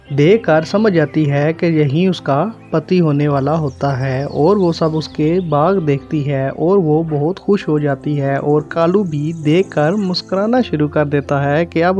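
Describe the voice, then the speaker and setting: A man's voice: fairly high pitch, darkish tone. A man narrating a scripted cartoon moral story as the storyteller, in a lo-fi recording. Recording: dull lo-fi sound with music. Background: music.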